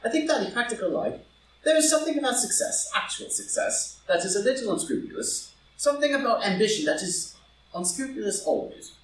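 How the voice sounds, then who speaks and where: Man playing urbane English lord, loyal friend, halting phrasing, very varied pitch, distant noisy recording. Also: very animated. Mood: hesitant, tactful. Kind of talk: live group reading of stage comedy. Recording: roomy, distant, noisy live group recording.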